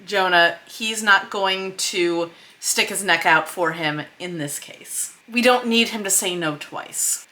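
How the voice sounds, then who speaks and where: A woman's voice: mid-range voice, takes it slowly, sharp tone. A woman hosting a writing tutorial, explaining conversationally and reading excerpts aloud, leaning on key words for emphasis. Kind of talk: explanatory screen-share lesson, reading script excerpts aloud.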